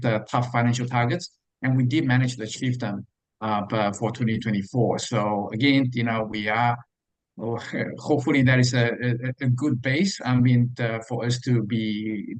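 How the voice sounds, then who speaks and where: A man speaking as a company finance executive, answering investor questions in a halting, hesitant way with repeated words. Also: medium pitch, dry recording, poor audio.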